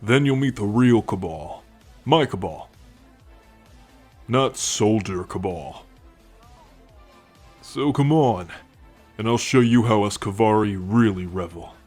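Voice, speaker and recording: A man voicing a friendly, teasing alien soldier in an intimate ASMR roleplay, talking in a gentle, playful, confiding way. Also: on the low side, lively intonation, slow, pauses often, soft background music. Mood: teasing, fond. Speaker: voice actor in an audio roleplay. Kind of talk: ASMR roleplay, intimate one-sided conversation.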